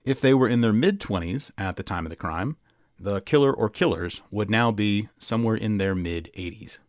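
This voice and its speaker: Low-voiced man narrating true crime. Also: normal speed, no echo, studio quality. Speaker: podcast narrator. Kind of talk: scripted true-crime narration, dramatic article reading.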